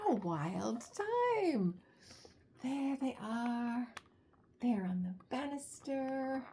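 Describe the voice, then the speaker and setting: A woman reading a children's picture book aloud, expressively, with drawn-out words and chatty asides and questions to young listeners. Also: high voice, darkish tone, slightly rough.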